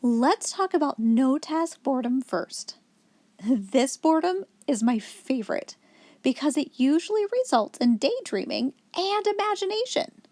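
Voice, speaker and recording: A woman with a high voice reads a picture book aloud to young children. Her intonation is very lively, rising and falling as she explains things in an instructive storyteller's way. The sound is dry and close, with little room in it. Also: a little slow, no room sound. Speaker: children's story reader, host.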